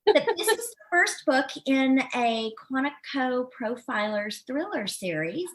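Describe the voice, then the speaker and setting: A woman's voice: sits high, sing-song, unhurried, poor audio. An American woman in her forties from Southern Illinois, talking casually as an author guest in an interview. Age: 40s. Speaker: podcast guest, novelist.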